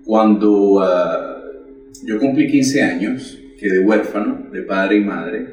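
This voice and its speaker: A man in his forties gives an earnest, measured account of his faith as a guest. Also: on the low side, darkish tone, roomy sound, far from the mic, poor audio. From Venezuela. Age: 40s. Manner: earnest, measured storytelling with pauses. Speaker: catechist guest. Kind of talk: personal faith testimony.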